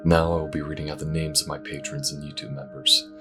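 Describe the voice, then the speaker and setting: Very deep-voiced man reading credits. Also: flat delivery, quick pace, over music. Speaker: creator and voice actor of the audio drama. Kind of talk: read-out credits and thanks.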